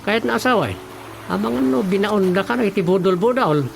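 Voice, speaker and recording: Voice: mid-range voice, lively intonation, fast talker, creaky, heavy background noise. An actor with an Ilocano accent playing a wary, blunt villager, muttering hesitantly in a radio comedy drama. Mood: wary, doubtful. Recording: loud music bed behind voice.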